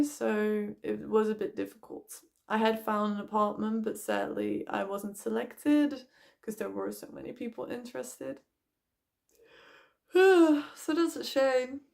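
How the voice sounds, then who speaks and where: A young woman with a loud voice, talking bluntly and casually about her life, swearing now and then and filling with "like". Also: a little high, vocal fry. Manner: frank, blunt, swears casually, many "like" fillers. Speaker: vlogger. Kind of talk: candid personal vlog talk.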